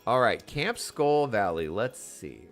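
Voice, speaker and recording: A man's voice: mid-range voice, sing-song, takes it slowly, soft background music. A man doing casual livestream game commentary, chatty and playful with sing-song asides. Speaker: game streamer.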